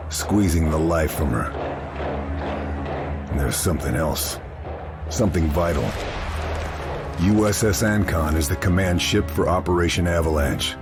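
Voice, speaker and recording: Casting: grim American wartime operative, bottomless low pitch, measured and terse delivery for a cinematic game. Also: male voice. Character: grim, stoic male American military marksman and spy. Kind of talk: cinematic war-game dialogue and brooding narration.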